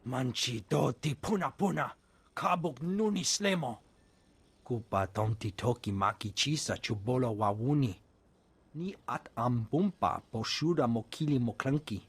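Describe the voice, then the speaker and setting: A man's voice: mid-range voice, a little slow, slightly rough. An actor voicing a male alien trader who talks in an invented tongue for a video game. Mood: businesslike.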